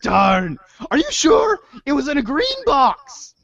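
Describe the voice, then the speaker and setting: A woman voicing a goofy, excitable, theatrical male cartoon mad scientist in a comic impression. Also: high voice, takes it slowly, dry recording, exaggerated voice. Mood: excitable, dramatic. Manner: theatrical, exclamatory. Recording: muffled, dull lo-fi audio.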